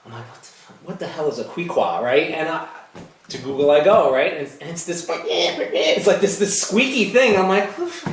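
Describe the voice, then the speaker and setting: A man co-hosting a casual chat, wry and joking, telling stories in an animated way. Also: fairly high pitch, moderate pace. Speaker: podcast co-host and producer. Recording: echoey room.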